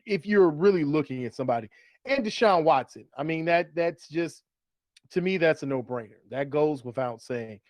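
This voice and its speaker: A man's voice: a little high, no echo. A man hosting a sports talk show with upbeat fantasy football banter, playful and fond of repeated phrases and rhetorical questions.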